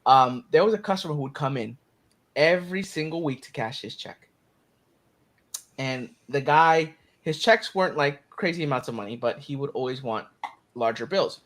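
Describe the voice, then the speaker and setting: A young man hosting a live stream, telling a story in casual chat, laughing often. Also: mid-range voice. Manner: laughs a lot, quick and animated.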